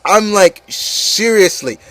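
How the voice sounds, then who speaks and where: A man with a slightly high voice, recorded close and dry, delivers a casual, opinionated rant. He sounds exasperated and repeats his words for emphasis, with some profanity. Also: animated, slow pace, a bit bright, no echo. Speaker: host of an opinion show on video games.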